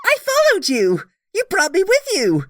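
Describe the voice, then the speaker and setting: A woman voicing an excitable, earnest little Pomeranian spirit, fiercely loyal, in a game fandub. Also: very high-pitched, measured pace, no echo, studio quality, exaggerated voice. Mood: eager. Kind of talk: animated game fandub acting.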